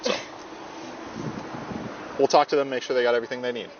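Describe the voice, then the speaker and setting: A police officer speaking calmly and patiently through an arrest, reading forms word for word, recorded on his body camera. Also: medium pitch, a little fast. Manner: calm, patient, reads forms verbatim. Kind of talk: procedural arrest talk. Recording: muffled bodycam audio with loud noise.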